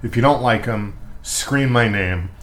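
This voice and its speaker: A man's voice: lowish voice, measured pace, fairly bright tone. An American man hosting a podcast, talking in a chatty, enthusiastic way and reading his own ads. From United States. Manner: chatty, lots of "uh" fillers, enthusiastic. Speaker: podcast host. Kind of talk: casual interview and ad read.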